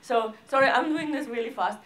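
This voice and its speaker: Halting woman presenter. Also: a little high, fairly bright tone. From Austria. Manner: halting, informal, frequent hesitations and broken sentences. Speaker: arts organisation director presenting projects. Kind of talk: seminar presentation with slides.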